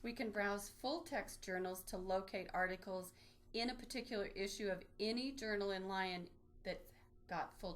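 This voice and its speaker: A woman presents an informal online tutorial in a medium-pitched voice. She explains each step as she goes, in the unscripted manner of a trainer demonstrating a research tool. The delivery is instructional and practical. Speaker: librarian giving a database tutorial. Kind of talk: informal instructional screen-share walkthrough.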